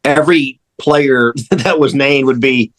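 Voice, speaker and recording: A man's voice: mid-range voice, measured pace. A man chatting casually and unscripted as a panelist on a sports radio show.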